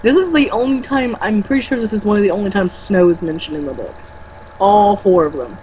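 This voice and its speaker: Young woman, mid-pitched, exasperated and sarcastic book reader; casual, sweary, faint noise on a homemade recording. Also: a little fast, mellow. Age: young adult. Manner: sarcastic, exasperated, foul-mouthed. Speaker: co-host reading a book aloud with mocking commentary. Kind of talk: casual read-aloud with exasperated commentary.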